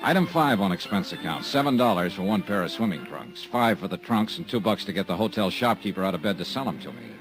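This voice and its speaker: A deep, dry-witted private investigator. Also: male voice, expressive, quick pace, few pauses, over music. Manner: dry, wisecracking, laconic. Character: wisecracking male insurance investigator. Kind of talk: 1950s radio drama acting and narration.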